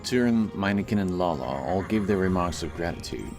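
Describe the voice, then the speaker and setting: A man's voice: low-pitched, expressive, brisk, a little mellow. A man reading scripted fantasy narration as a dramatic audiobook narrator. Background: music.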